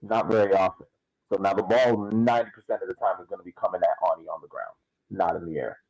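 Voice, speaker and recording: A man on a podcast panel giving casual sports analysis, explaining in halting, emphatic phrases that he often repeats. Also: low-pitched, creaky, a little mellow, husky, dry recording, low-quality recording.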